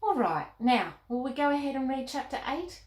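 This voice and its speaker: A woman hosting a children's storytime and reading aloud, expressive and speaking directly to young listeners. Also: high-pitched, moderate pace. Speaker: children's show host and author reading her book. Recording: roomy, echoing indoor sound.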